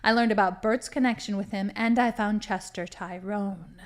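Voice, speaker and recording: A woman's voice: mid-range voice, fairly even intonation, moderate pace, close to an everyday voice. A woman acting as a boastful, theatrical villain, a cheerfully murderous crime boss in a comedy audio drama. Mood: scheming. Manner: gleeful, boastful, dramatic monologuing.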